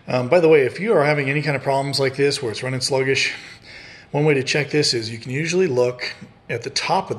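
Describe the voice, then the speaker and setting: A man's voice: medium pitch, a bit bright. A man with a mid-range voice hosts a casual DIY repair video. He speaks informally, in laid-back everyday wording, explaining things as he goes. The sound is that of a handheld camera in a room at home. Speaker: host of a DIY repair channel.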